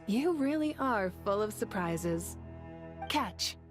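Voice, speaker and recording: A woman's voice: medium pitch, expressive, slow. A woman playing a confident, easygoing female ally in a video game, speaking in a casual, knowing, familiar way. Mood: teasing, relaxed. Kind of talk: video game story acting. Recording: music behind the dialogue.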